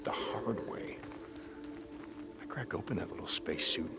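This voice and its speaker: A man playing a gruff, determined survivor in a cinematic video game, terse and intimidating in manner. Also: low-pitched, animated, normal speed, heavy background noise. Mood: threatening. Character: menacing, determined male survivor drifter searching for his wife.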